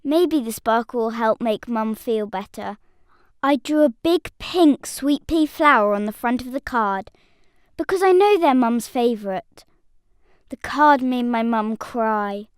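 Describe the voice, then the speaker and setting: A woman's voice: fairly high pitch, measured pace, smooth, no room sound, studio quality, lightly acted. A woman playing a sad, hopeful young girl in an audio drama, speaking simply and wistfully. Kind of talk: audio drama monologue and dialogue.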